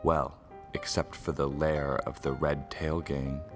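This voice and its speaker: A man with an extremely deep voice narrates a radio serial dramatically. Clear music plays behind him. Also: flat delivery, slow.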